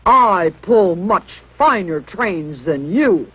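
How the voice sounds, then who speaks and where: Woman playing a loud, pompous big engine. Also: on the low side, expressive, slow pace, breathy edge, lo-fi sound, heavily acted. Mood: scornful. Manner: pompous, roaring. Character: big proud male passenger engine, scornful and angry.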